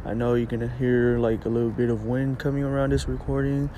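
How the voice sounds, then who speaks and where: A man's voice: mid-range voice, completely flat delivery, quick pace, dark tone. A young man hosting a casual amateur podcast and interviewing a guest. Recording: faint ambient background noise.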